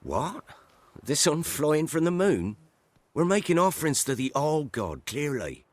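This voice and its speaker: A man's voice: fairly high pitch, sing-song, unhurried, no echo. An actor playing a pious, quarrelsome male villager in a video game, with blunt rustic speech. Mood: irritated. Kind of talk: video game character acting.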